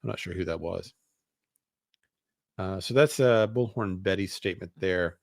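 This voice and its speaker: A man with a low voice hosts a talk show, reading statements aloud and breaking into casual commentary. His asides come out hesitant, and the sound is dry and close. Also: moderate pace, creaky, no echo. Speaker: show host.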